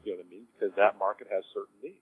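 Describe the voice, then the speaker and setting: A man answering interview questions informally as a business executive, in a halting way, repeating words as he explains. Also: fairly low pitch, fairly even intonation. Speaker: cemetery executive being interviewed. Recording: dull lo-fi audio with clear noise.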